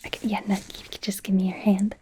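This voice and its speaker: A woman playing an anxious, apologetic young werewolf medical student in a soft, hesitant ASMR roleplay whisper. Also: mid-range voice, flat delivery, close to an everyday voice. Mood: gentle, professional. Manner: hesitant, halting, nervous.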